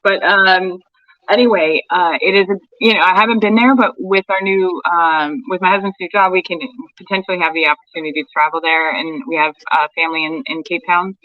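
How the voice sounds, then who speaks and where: A homeschooling mom chatting casually on a podcast, rambling with many fillers and restarts. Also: female voice, fairly low pitch, steady tone, a little fast, dry recording, muffled recording.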